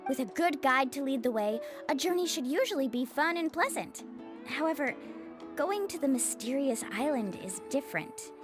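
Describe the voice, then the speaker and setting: A woman playing a friendly young island guide and shopkeeper in a video game, chatty and reassuring. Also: high voice.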